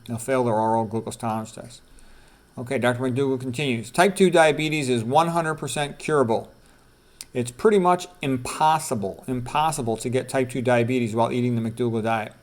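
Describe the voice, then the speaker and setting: A man's voice: medium pitch, normal speed. Male, middle-aged or older, mid-range voice. Doctor presenting book quotes off the cuff, emphatic, repeats key words. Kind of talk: informal read-aloud with commentary.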